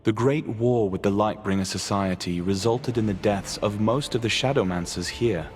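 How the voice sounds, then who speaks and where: A man playing a solemn storyteller, delivering measured scripted narration of fantasy lore in a video game. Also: lowish voice, lightly acted. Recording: music under the voice.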